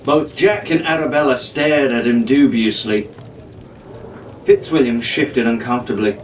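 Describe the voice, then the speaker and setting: A man's voice: medium pitch, unhurried. A man narrating a pirate adventure story in a theatrical, in-character way, as a storybook reader. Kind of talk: scripted dramatic narration reading a children's pirate novel. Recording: roomy, distant mic with faint noise.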